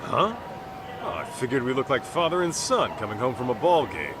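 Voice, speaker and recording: An actor playing a brash middle-aged detective in a video game dub, with an American accent and blunt, slangy delivery. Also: male voice, medium pitch, very animated, moderate pace, background noise, close to an everyday voice. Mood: casual, alert. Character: brash, street-tough middle-aged male detective, gruffly fatherly. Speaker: private detective.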